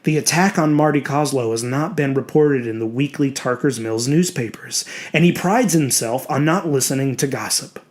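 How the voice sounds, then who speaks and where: A man's voice: medium pitch, normal speed, few pauses. A man reading a scripted horror story as a dramatic narrator. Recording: music behind the voice. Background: music.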